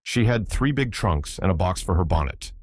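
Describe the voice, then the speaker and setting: A man voicing a dreamy, gentle little girl in an audiobook story, with a wistful, murmuring manner. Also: low-pitched, expressive, moderate pace, husky, dry recording, clean studio sound. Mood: faraway.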